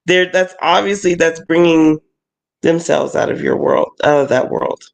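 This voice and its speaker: A woman who performs at haunted attractions, talking in a relaxed, rambling way with lots of fillers and restarts. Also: low voice, moderate pace, creaky. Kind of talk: casual chat.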